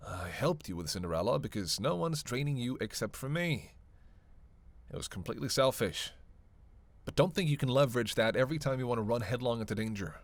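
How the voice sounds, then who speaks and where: An actor playing a reluctant, sardonic vampire in an audio roleplay, sarcastic and grumbling, swearing freely. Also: male voice, mid-range voice, animated, a little fast, dry recording, lightly acted. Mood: exasperated, grumbling. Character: sardonic, reluctant male vampire, hungry, cursing, teasing. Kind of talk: solo audio roleplay addressed to the listener.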